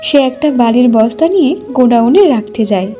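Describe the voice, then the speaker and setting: High, mellow woman storyteller. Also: a little fast, faint music behind. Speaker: storyteller, narrator. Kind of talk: scripted narration of a cartoon folk tale.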